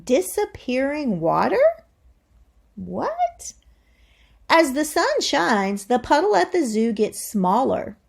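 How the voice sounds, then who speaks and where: A woman teacher reading aloud to young children in a deliberate, expressive way, thinking aloud and putting questions to her listeners. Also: high-pitched, creaky, husky.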